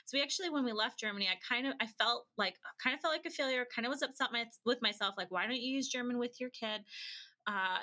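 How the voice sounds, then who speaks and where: The chatty guest on a lifestyle podcast, a woman with a bright, forward voice, swapping casual anecdotes. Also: on the high side. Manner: chatty, laughs easily. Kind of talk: casual anecdotal storytelling in an interview.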